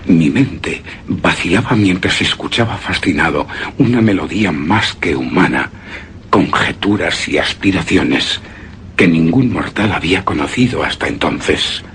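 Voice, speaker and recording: An actor playing a grieving, obsessed widower in a suspense and horror radio drama, with a solemn, halting, brooding delivery that drops into whispers. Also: male voice, deep voice, expressive, fairly bright tone, slight background noise. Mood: sorrowful, brooding. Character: grieving, obsessed widower recounting his beloved's death. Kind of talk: Spanish-language suspense and horror radio drama, theatrical monologue.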